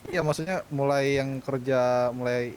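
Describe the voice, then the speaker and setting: A man's voice: mid-range voice, fairly even intonation, moderate pace, a little hiss or hum. A man talking casually in a group discussion during an online roleplay game. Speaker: roleplay gang member.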